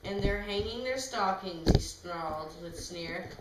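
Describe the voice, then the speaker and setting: A woman's voice: medium pitch, a little slow. A woman reading a children's rhyming storybook aloud in an informal way. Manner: informal, with asides to people nearby. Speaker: reader of a storybook. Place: echoey room, away from the mic. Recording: very echoey room, distant mic, clear noise.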